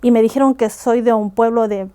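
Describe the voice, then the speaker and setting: Mujer ecuatoriana de voz algo aguda. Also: female voice, on the high side, fairly even intonation, a little fast, mellow, smooth. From Ecuador. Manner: earnest, vivid retelling of hard experiences. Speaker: guest. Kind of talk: personal testimony in a podcast interview.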